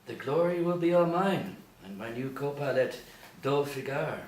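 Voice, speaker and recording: A man's voice: mid-range voice, expressive, moderate pace, darkish tone, close to an everyday voice. Echoey, fervent actor as a boastful militant man. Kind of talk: screenplay table read. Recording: echoey room, distant mic, faint noise.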